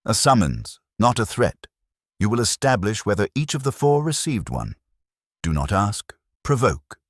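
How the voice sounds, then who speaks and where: A man voicing a heavy older detective who is imperious and deliberate, speaking in formal, terse commands in an audiobook mystery. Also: on the low side, sing-song, no room sound, studio quality, pitched above the performer's own voice, full character voice. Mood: commanding, measured.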